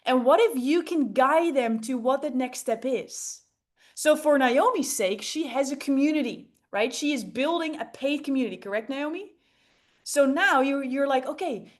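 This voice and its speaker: A woman coaching a group on public speaking, enthusiastic and emphatic, often checking in with rhetorical questions. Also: high voice. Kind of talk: interactive group coaching talk.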